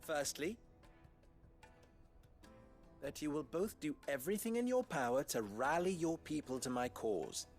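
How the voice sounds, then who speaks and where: An actor playing an upright, wry town leader in a fantasy game, speaking in formal, eloquent dialogue. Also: male voice, on the high side, expressive, measured pace, long pauses, soft background music. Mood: firm, measured. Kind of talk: dramatic video game dialogue.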